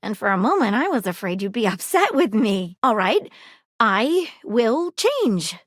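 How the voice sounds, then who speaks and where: A woman voicing an earnest, flirtatious female detective in a video game, with formal, theatrical, deliberate phrasing. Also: on the high side, very animated, no echo, clean studio sound. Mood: smitten, flirtatious. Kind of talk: scripted game character dialogue.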